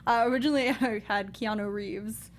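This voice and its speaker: Female panel co-host, slightly high voice, casual bantering chat, faint room noise behind. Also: fairly even intonation, moderate pace. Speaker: panel co-host of a film review show.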